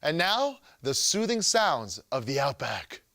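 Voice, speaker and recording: A man's voice: fairly high pitch, very animated, measured pace, creaky, music in the background. A man hosting a comedic science show with scripted narration and a playful, exaggerated showman delivery. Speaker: web show host.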